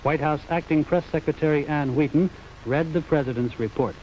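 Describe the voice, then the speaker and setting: A man's voice: a little high, fairly quick, a little mellow. A man with an American accent delivering a scripted news report as a correspondent, formal and declarative. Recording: dull 1950s broadcast, faint noise.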